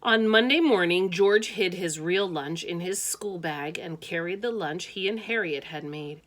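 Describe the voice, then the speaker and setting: A woman reads a children's story aloud in a slightly low voice. She takes it very slowly, with expressive phrasing, and drops in casual comments of her own. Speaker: teacher reading aloud.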